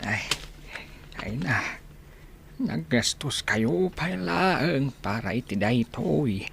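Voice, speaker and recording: A man's voice: medium pitch, very animated, drawn-out words, gravelly, fairly bright tone, slight background noise, exaggerated voice. A man playing an old, humble rural husband who herds cattle in an Ilocano radio comedy-drama, speaking in a drawl full of hesitant interjections. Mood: humble, grateful. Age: older.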